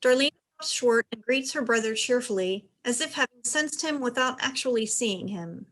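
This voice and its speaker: A woman's voice: fairly high pitch, expressive, moderate pace, a bit bright, rough recording. A woman giving scripted narration, reading out the stage directions of a play.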